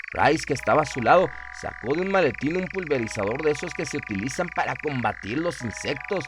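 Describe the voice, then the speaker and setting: A man's voice: mid-range voice, very noisy. A man narrating a scripted horror story in a solemn, dramatic storytelling manner.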